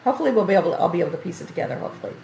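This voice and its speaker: An older woman streaming a video game tutorial, chatty and thinking aloud as she plays, her voice going a bit hoarse. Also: lowish voice, very animated, very fast, warm tone, echoey room, a little hiss or hum. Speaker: gaming streamer. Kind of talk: game tutorial livestream commentary.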